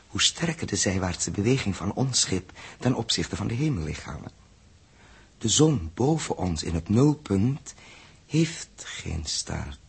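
Sixties radio space serial: tense pilot, heavily husky lowish voice, technical and urgent delivery. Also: male voice, heavily acted. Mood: subdued, explaining. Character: tense, self-blaming male spaceship pilot, explaining patiently. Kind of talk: 1960s radio drama acting.

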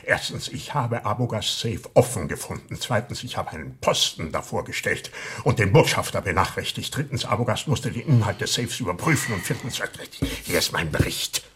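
A defiant guard officer in a radio play. His lowish voice is very hoarse and hard-edged, and he snaps out his points curtly. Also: lively intonation, moderate pace, full character voice. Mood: exasperated. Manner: curt, enumerating points forcefully. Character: fed-up, defiant male embassy guard officer. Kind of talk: radio drama acting.

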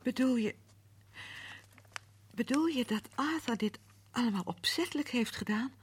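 An anxious young woman, high, lively, pleading, faint hiss. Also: unhurried. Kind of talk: radio drama acting.

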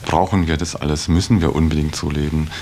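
A man's voice: very deep voice, fairly even intonation, quick pace, darkish tone, a little hiss or hum. A man giving a reflective interview in earnest, articulate phrases, hesitating often as he weighs his words. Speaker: interviewee in a radio feature.

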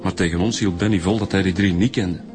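A man's voice: low voice, steady tone, quick pace, music in the background, lightly acted. A man with a Flemish accent playing a down-to-earth, wry police detective in a radio drama.

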